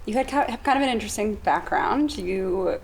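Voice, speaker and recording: A woman's voice: mid-range voice, lively intonation, a little fast, gravelly, a little hiss or hum. A woman interviewing a guest, asking light, curious questions. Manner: light, curious, laughs easily. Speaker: interviewer, head of marketing.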